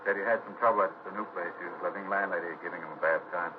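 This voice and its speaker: Through clear hiss and a thin vintage radio band comes a man playing a ship captain. He talks rapidly and conversationally, folksy and matter-of-fact, as in 1950s radio drama. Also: low voice, fairly even intonation, natural voice. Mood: doubtful, conversational. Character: plain-spoken, loyal merchant ship captain. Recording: tinny, hissy old radio broadcast.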